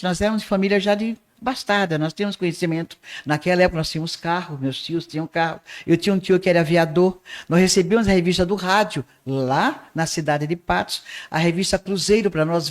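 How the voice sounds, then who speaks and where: Older woman, soft Northeastern Brazilian accent. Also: fairly low pitch. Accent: Northeastern Brazilian, softened. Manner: unhurried storyteller with small restarts. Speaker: guest, art teacher and radio presenter. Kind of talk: reminiscing interview storytelling.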